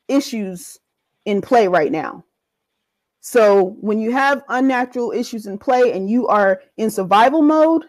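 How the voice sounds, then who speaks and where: A woman's voice: fairly high pitch, no room sound, low-quality recording. A woman speaking passionately in a discussion, stressing points by repeating them. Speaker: guest in a discussion.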